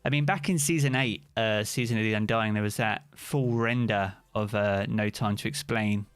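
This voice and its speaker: A man's voice: on the low side, normal speed, smooth, dry recording, faint music behind. A British man streaming live, chatting casually and answering viewers' comments by name.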